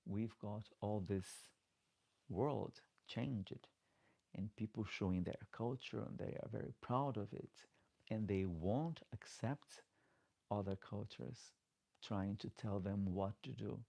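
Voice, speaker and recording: Low, gravelly man with Portuguese accent. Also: slow pace, dark tone. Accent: Portuguese-speaking. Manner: chatty, reads comments aloud, pleads for likes. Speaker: drag queen livestreamer. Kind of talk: casual live chat. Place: home, late at night.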